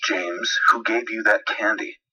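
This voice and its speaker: A man's voice: lowish voice, level tone, a little slow, sharp tone, breathy edge, recorded from a distance, highs cut off, exaggerated voice. A man playing a worried, stern but loving father in a horror story, questioning his young son with anxious insistence. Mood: stern concern.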